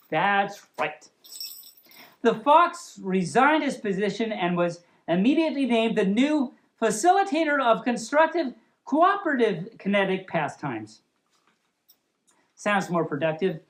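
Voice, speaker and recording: Animated high-voiced woman storyteller. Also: rough recording. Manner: playful, silly, expressive. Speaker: storyteller, host of a storytime series. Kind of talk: storybook reading with playful ad-libbed asides.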